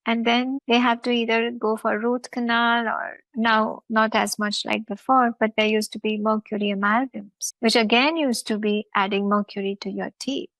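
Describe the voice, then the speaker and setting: A woman's voice: a little high, little pitch movement, normal speed, hardly any pauses, fairly bright tone, no room sound, low-quality recording. A woman with an Indian accent hosting a talk show, explaining at length in an emphatic way, with repeated phrases and lists. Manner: emphatic, repetitive listing. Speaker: host.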